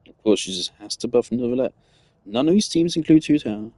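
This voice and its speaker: A man's voice: medium pitch, lively intonation, fairly quick, warm tone, no room sound. A man giving casual, analytical gaming commentary, thinking aloud in a rambling way as a streamer.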